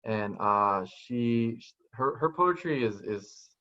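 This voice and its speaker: A soft-spoken man in his thirties whose first language is Spanish, talking thoughtfully and restarting his sentences as he searches for words. His voice sits low and comes through a muffled, lo-fi video call line from a small room at home. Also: expressive, takes it slowly. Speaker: guest poet. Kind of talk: casual interview answers. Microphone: video call webcam. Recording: dull lo-fi video call audio.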